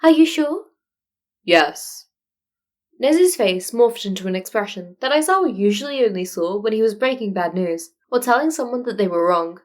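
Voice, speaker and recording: A woman with a medium-pitched voice narrates a story. She reads in a scripted audiobook style, as the narrator of fan fiction. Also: animated, brisk, hardly any pauses.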